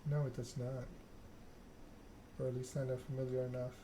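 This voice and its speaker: A man reading a story game aloud in an ASMR style, with hushed, measured narration and dialogue. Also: medium pitch, level tone, noisy background.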